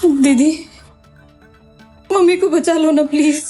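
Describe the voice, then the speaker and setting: A distraught young daughter in an audio drama. Her voice is piercingly high and tearful, repeating pleas in wails over faint music. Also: steady tone, slow pace, mellow. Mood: tearful pleading. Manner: pleading, repetitive, wailing cries. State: crying. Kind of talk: Hindi audio drama acting.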